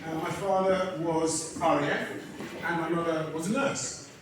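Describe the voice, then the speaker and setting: A man gives an unprepared speech in a big echoing venue. He talks hesitantly, with frequent ums and restarts, in a fairly high voice. A distant camera records him, and steady noise sits under the voice. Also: unhurried. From England. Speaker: guest speaker at a community event. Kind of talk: impromptu speech. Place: indoor event venue. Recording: echoey hall recorded from far off.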